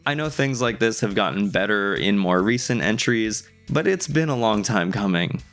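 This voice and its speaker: A young man with a fairly low voice narrates a video essay. He is conversational and casually enthusiastic, dropping in casual asides. Guitar music plays softly behind him. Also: lively intonation, normal speed.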